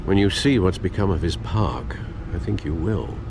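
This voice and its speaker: An actor playing an elderly British butler in a video game, formal, precise and dryly understated. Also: male voice, low-pitched, animated, moderate pace, background noise. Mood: cautioning. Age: older.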